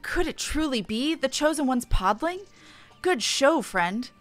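A woman's voice: high-pitched, very animated, a little slow, faint music behind, pitched above the performer's own voice. A woman voicing a genteel, good-natured villager from a fantasy game, with formal, old-fashioned wording. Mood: delighted.

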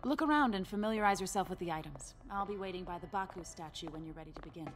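An actress playing a brisk, guarded young gallery official in a video game, formal in manner. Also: female voice, on the low side, faint music behind, close to an everyday voice. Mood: brisk, accommodating.

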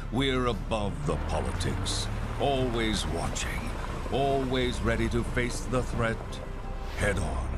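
A man acting as a grave, resolute spokesman in a scripted promotional narration, speaking in deliberate declarations. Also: lowish voice. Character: solemn, resolute male spokesman for an anti-bioterror group. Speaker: announcer in a promotional video. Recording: loud music bed under narration. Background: loud music.

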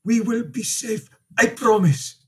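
An actor playing a protective, devoted priest in a radio drama, husky, speaking in short, insistent sentences. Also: male voice, extremely high pitch, fairly even intonation, unhurried, heavily acted. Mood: earnest, reassuring. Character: urgent, protective immigrant priest, resolute and devoted.

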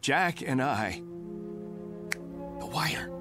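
An actor playing a bewildered, rattled Air Force colonel in a radio drama. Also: male voice, mid-range voice, expressive, drawn-out words, loud music behind the voice. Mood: urgent. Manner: bewildered, pleading, flares into anger.

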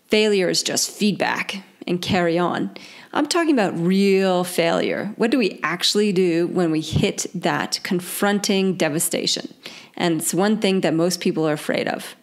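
A woman in her forties with a croaky voice from a cold, hosting her own leadership podcast in a bubbly, upbeat way. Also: on the low side, measured pace. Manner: bubbly, upbeat, laughs often. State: hoarse from a cold. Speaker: podcast host, leadership coach. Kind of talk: casual solo podcast talk.

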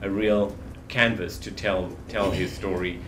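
Earnest low-voiced film producer. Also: male voice, steady tone, unhurried. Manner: earnest, with restarts. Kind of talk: press conference interview. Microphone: handheld mic. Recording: roomy with room noise.

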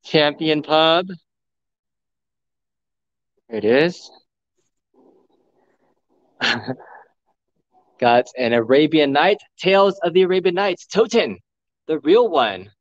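A man livestreaming a walkthrough of a pinball showroom, excitedly talking to his viewers, recorded on a phone indoors. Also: on the high side, slow, long pauses, no room sound, highs cut off. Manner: excited, enthusiastic, addressing viewers. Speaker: vlogger, live streamer. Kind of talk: live walkthrough commentary. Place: large indoor arcade showroom. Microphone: phone camera.

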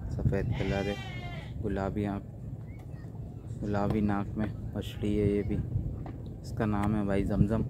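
A Pakistani man presents farm animals in a casual, halting way, in short phrases. His voice is on the low side and very flat in pitch. It is recorded on a handheld device in an open yard, with clear noise and animal sounds behind him. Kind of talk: casual livestock showcase narration. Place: open tented cattle yard.

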